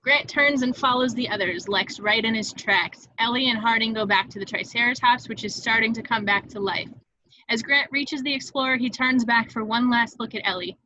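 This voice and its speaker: Bright, higher-pitched woman reading stage directions. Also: dull audio. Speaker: narrator reading stage directions in a table read. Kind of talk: scripted narration of screenplay directions.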